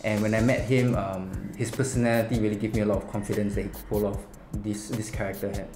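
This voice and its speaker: A young man with a Singaporean accent giving an interview as a film director, pausing mid-sentence. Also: on the low side, little pitch movement, roomy sound. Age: young adult. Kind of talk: seated interview. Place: small indoor room. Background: music.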